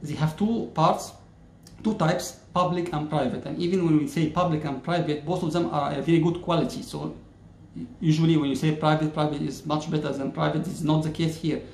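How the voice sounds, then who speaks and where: A man in his thirties from Egypt, speaking English, explains a topic to camera in a measured way, pausing often on "uh". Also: fairly high pitch, steady tone, creaky, a little mellow, echoey room, slight background noise. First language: Arabic. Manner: measured, many "uh" pauses, gestures with hands. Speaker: YouTuber. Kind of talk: casual explainer talking to camera. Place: plain-walled indoor room.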